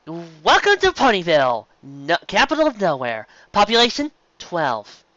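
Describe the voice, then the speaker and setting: A man voicing a brash, mocking female pony guard, an excitable loose cannon, in an audiobook story. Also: sits high, unhurried, no echo, highs cut off, pitched above the performer's own voice, heavily acted.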